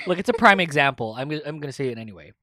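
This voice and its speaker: Casting note: woman in her late twenties, Filipino accent, very low voice, dry close mic, chatty podcast host who rambles. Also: bright, forceful tone, no room sound. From Philippines. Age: 20s. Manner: rambling, with many fillers and restarts. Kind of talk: casual chat, mixing English and Filipino.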